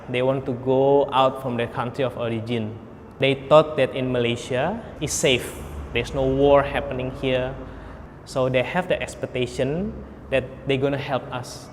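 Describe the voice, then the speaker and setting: A man explaining the situation of refugees in a documentary interview, measured and explanatory, with non-native grammar. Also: mid-range voice. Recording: reverberant room with faint ambient noise.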